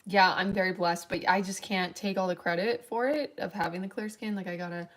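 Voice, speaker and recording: Raspy young woman, tired late night stream voice, rambly and chatty, lots of fillers. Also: on the low side, fairly even intonation, brisk. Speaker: livestreamer. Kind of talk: late-night casual stream chat, reading chat aloud.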